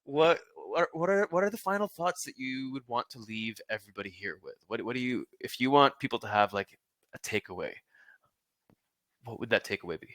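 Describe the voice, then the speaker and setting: A male podcast host with a bright, forceful voice, recorded close and dry. English is not his first language, and he talks earnestly, laughing often between his words. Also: mid-range voice, expressive, a little fast, no room sound. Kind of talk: casual interview chat.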